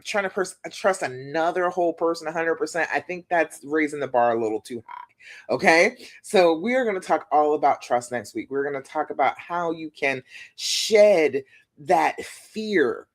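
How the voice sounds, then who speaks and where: Deep-voiced woman coaching on a livestream. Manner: warm, chatty, reassuring, tag questions like "okay?". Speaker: relationship coach. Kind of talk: casual live-stream coaching talk. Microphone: phone live stream.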